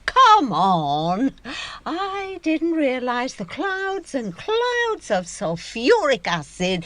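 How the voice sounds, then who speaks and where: An imperious middle-aged mother in a radio play. She speaks in a slow, deliberate way with big swoops of pitch. Her delivery is theatrical and sardonic, with a wounded edge. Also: fairly bright tone, no echo. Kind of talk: audio drama acting.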